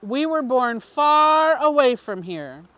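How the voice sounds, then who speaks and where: A woman reads as a smooth-talking male politician in a story, secretive and persuasive. The delivery is slow and confiding, with lively swings of pitch in a high register. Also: no echo, heavily acted. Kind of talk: audiobook character dialogue.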